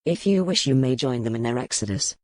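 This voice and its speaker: A man playing a grim, weary, commanding medieval duke in an audiobook, speaking in formal, measured phrases. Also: mid-range voice, animated, no room sound, natural voice. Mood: weary, resigned. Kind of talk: dramatized audiobook dialogue.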